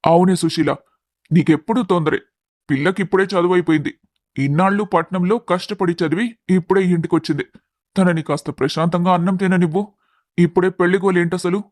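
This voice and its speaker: A man's voice: high voice, fairly even intonation, fairly quick, a little mellow, dry recording, studio quality, natural voice. A man playing a doting, anxious middle-aged village father in a dramatised story, fretful and affectionate. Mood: protective, concerned. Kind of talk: dramatised moral story acting.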